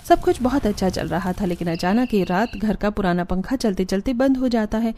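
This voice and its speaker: Soft-toned woman narrating a moral story. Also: medium pitch, brisk, slight background noise.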